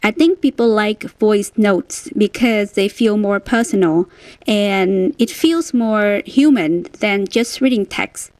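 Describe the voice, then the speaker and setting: A Vietnamese-accented woman shares her view in a personal voice message. She speaks slowly, and the recording is dry, without echo. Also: mid-range voice, darkish tone.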